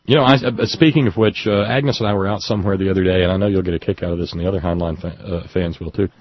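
An older man with a low, husky voice hosts a casual talk show, spinning rambling anecdotes with wry chuckles. The sound is dry and muffled, with the highs rolled off. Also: fairly even intonation, rapid-fire, no room sound. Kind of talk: casual call-in chat.

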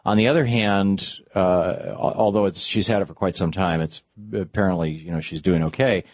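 A man's voice: low voice, steady tone, a little fast, dry recording, dull audio. A man hosting a call-in radio show, talking through legal and political points with many restarts. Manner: discursive, argumentative, stops and restarts mid-sentence. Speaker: radio talk show host.

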